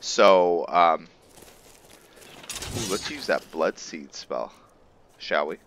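A man giving roleplay game commentary, musing and pausing often as he talks. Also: low voice, animated, background noise. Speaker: gameplay video host. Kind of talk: roleplay game commentary with some reading aloud.

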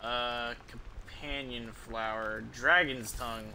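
A man in his thirties giving casual, sweary, rambling and halting commentary as he streams a game. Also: medium pitch, steady tone, bright, forceful tone, a little hiss or hum. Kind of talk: casual livestream gameplay commentary. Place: small room at home, indoors. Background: game music.

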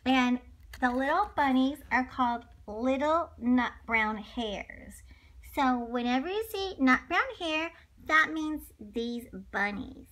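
A woman narrating a children's read-along in an animated, playful style with chatty asides to young listeners. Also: high voice, takes it slowly. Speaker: storyteller for children.

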